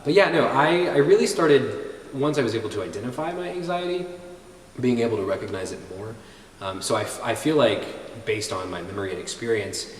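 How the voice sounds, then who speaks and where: A man's voice: lowish voice, echoey room, in a large hall, far from the mic, a little hiss or hum, rough recording. A twenty-one-year-old man answering interview questions in a hesitant, self-reflective way, with lots of ums.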